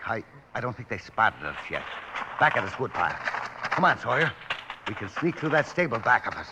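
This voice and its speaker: An actor playing a shrewd, scornful outlaw sidekick in an old radio western, talking sardonic cowboy slang. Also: male voice, mid-range voice, big pitch swings, a little fast. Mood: tense, hushed. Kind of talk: 1950s radio western acting. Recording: noisy old radio recording.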